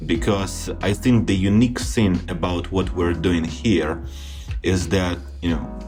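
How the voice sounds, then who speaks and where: Deep-voiced Ukrainian podcast host. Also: male voice, fairly even intonation, unhurried, over music. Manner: rambling, filler-heavy questions. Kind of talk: casual interview questions.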